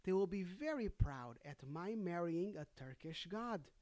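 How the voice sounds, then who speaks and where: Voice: mid-range voice, lively intonation, measured pace, darkish tone, lightly acted. A storyteller voicing an eager, naive young princess from a fairy tale in an earnest, instructing manner.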